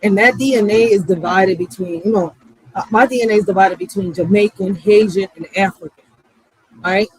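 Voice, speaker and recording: A woman's voice: mid-range voice, moderate pace, slight background noise, rough recording. A woman giving a heated, profane casual monologue, blunt and emphatic, using street slang and repeating phrases for stress. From Puerto Rico or Puerto Rican background. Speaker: channel host.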